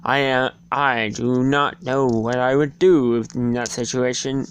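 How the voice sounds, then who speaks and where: A person giving an amateur dramatic reading of a storybook, halting and stumbling over the words. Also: medium pitch, fairly even intonation, a little hiss or hum. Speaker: reader.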